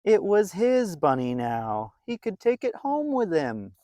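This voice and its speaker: A storyteller reading a picture book aloud to young children in an expressive, animated way with dramatic pauses. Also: medium pitch, no room sound.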